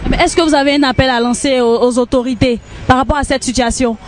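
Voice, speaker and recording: A woman's voice: sits high, fairly even intonation, fast talker. A woman reporter asking interview questions outdoors on a city street.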